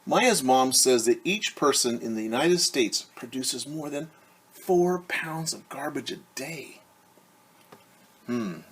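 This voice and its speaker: A man's voice: mid-range voice, expressive, slow, breathy edge. A middle-aged man, a teacher, reading a picture book aloud to children and explaining things simply. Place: small room at home.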